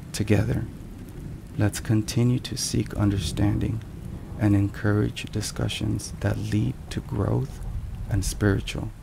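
Slow, low, hushed man over rain. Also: gravelly. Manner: hushed, measured, solemn delivery. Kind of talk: soft ASMR devotional scripted narration. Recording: rain and thunderstorm noise behind. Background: steady rain and thunder.

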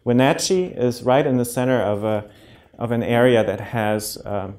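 A man's voice: medium pitch, normal speed, darkish tone. A man in his thirties from Germany with a German accent, a cardiologist explaining a heart condition to a community audience in a lecture room, speaking into a handheld microphone, friendly and plain with many ums and restarts. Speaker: cardiologist giving a public health talk. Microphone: handheld and podium microphone.

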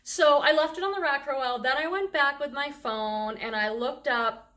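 High-voiced chatty woman with a faint Boston accent. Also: moderate pace, a bit bright. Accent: Boston, on a handful of words. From Boston area, USA. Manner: chatty, enthusiastic about clothing finds. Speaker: thrift reseller vlogger. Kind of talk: casual haul vlog.

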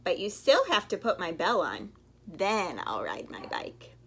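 A woman voicing an eager, excitable, stubborn young boy frog from a storybook. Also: medium pitch, measured pace, a little hiss or hum. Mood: stalling. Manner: exclamatory, eager, bargaining.

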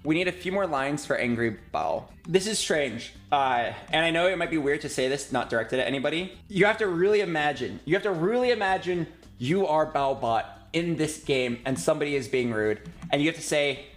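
A man's voice: fairly high pitch, lively intonation, brisk, few pauses. A man, chatting casually on a livestream as he gives directions. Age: 20s. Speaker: streamer directing voice line recording. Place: indoors, streaming room. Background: video game music.

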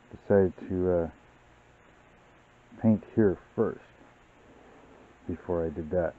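A man hosting a model-building channel, explaining his workbench steps in a relaxed, rambling way with many fillers. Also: low voice. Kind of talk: casual hobby tutorial narration. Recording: tinny, phone-like sound.

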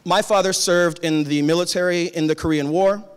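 A man, a high school teacher, giving a conference keynote from a stage with a handheld mic, talking in a measured, conversational way. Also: steady tone, smooth. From Tacoma, Washington. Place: large conference hall on stage. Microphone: handheld cordless mic. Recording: long hall reverb.

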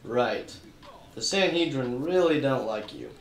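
A man's voice: mid-range voice, expressive, unhurried, roomy sound. A man narrating and acting as a wisecracking detective in a church radio play. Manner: wisecracking, hard-boiled narration.

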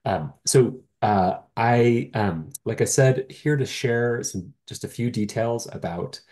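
A man's voice: medium pitch, takes it slowly, darkish tone. A man with a stuffy nose from a cold, giving a hesitant, apologetic presentation over a video call. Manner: apologetic, hesitant with many ums. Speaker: nonprofit presenter. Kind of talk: meeting presentation.

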